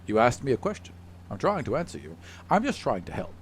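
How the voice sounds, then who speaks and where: A man playing a haughty, contemptuous demigod in a measured, condescending manner for a tabletop game. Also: mid-range voice, huge pitch swings, a little hiss or hum.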